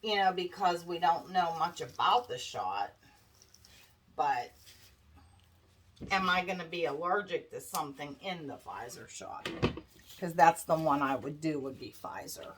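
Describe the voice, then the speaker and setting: A woman's voice: deep voice, expressive, measured pace, pauses often, a bit bright. A woman with a stuffy, congested nose chatting casually and anxiously to her viewers while making a wreath. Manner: chatty, worried, addresses viewers as guys. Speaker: craft vlogger. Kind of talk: casual chat while crafting. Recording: distant mic with faint background noise.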